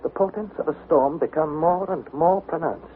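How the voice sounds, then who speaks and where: Man playing anxious young adventurer, high-voiced, noisy old radio. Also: moderate pace, natural voice. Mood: uneasy, foreboding. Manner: earnest, intense, literary delivery. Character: anxious young male adventurer narrating his expedition journal. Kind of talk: dramatic first-person radio narration. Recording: noisy, narrow-band vintage radio recording.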